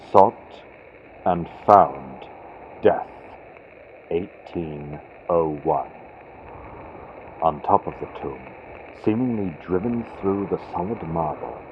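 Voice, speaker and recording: A man narrating a gothic horror story theatrically and deliberately, moving between hushed and loud delivery, with a vintage radio sound from a built-in computer microphone. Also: low voice. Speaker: audiobook narrator. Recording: tinny phone-like built-in computer mic, noisy. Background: faint storm-like ambient sound effects.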